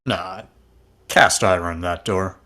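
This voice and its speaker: A man's voice: deep voice, slow, noisy background. A man playing a blunt, down-to-earth passenger in an amateur table read. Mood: dismissive.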